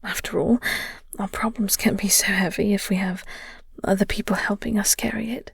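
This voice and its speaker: Woman voicing a flirty vampire nemesis, breathy and half-whispered, creaky edges, wry and teasing, intimate audio roleplay. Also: mid-range voice, steady tone, no room sound. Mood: tender, consoling. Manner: breathy, soft, slow, half-whispered delivery; teasing, wry, elegant phrasing.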